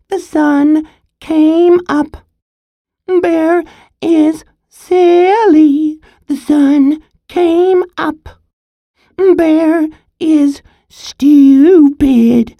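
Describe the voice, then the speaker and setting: A woman voicing a small, cheeky young male squirrel who chirps in a high voice. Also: big pitch swings, slow, dark tone, no room sound, exaggerated voice. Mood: taunting.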